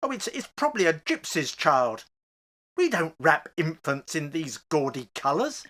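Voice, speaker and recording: Slow, wildly swinging, fearful older husband played by an actor. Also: male voice, on the high side, sing-song, sharp tone, heavily acted. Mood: hesitant, disbelieving. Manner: hesitant, agitated, dramatic. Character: fearful older husband and father, agitated, horrified, pleading. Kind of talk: radio play horror acting.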